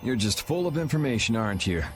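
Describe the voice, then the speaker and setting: Man as sardonic, mid-pitched young adventurer. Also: lively intonation, fast, soft background music, lightly acted. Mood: dry, teasing. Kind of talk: anime video game dub acting.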